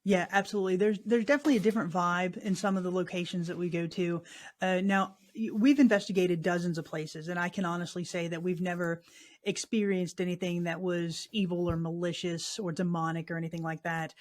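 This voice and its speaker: A woman's voice: on the low side, fairly even intonation, brisk, a little mellow. Earnest woman explaining her work. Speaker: paranormal investigator guest. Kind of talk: interview answers.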